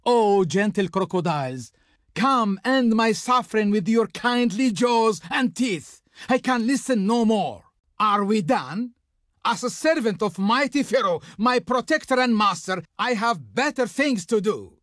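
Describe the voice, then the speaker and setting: A grandiose servant of the Pharaoh, a video game diplomat full of haughty ceremony. The delivery is slow and theatrical, with wide, sweeping intonation. Every phrase is formal and declaimed, in a dry, close studio sound. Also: medium pitch, lively intonation, fairly bright tone, no room sound. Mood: exasperated contempt. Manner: pompous, declamatory, formal, drawn-out. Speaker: game character, faction envoy.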